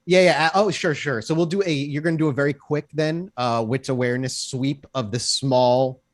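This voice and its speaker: A man's voice: medium pitch, steady tone, moderate pace. A man running a tabletop game, improvising scene descriptions in a conversational, descriptive way. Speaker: game master.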